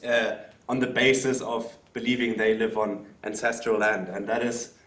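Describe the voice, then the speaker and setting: A man in the audience asking a long question at an academic talk, speaking loudly with many hesitations. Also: lowish voice, level tone, normal speed. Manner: loud, hesitant, many fillers. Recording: roomy hall sound.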